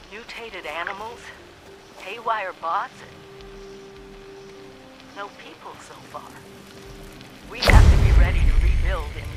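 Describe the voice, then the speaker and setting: An actress playing a resolute, caring woman overseer, speaking in a measured, earnest way on a video game audio log. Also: fairly low pitch, close to an everyday voice. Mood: grave, resolute. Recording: audio log with loud background noise.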